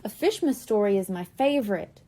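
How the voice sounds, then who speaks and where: A woman's voice: mid-range voice, lively intonation, moderate pace, mellow, lightly acted. A woman voicing a tired little mermaid kitten girl who talks in a whiny way. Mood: hopeful.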